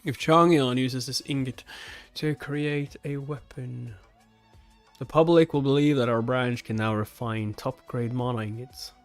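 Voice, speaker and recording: A man's voice: medium pitch, steady tone, unhurried, soft background music, lightly acted. A man voicing a guarded, businesslike official from a comic, speaking formally and sceptically. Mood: calculating.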